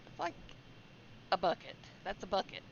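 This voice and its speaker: Lady streaming a horror game, super gravelly fry, halting casual chat, slightly dull mic, bit of noise. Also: mid-range voice, very animated.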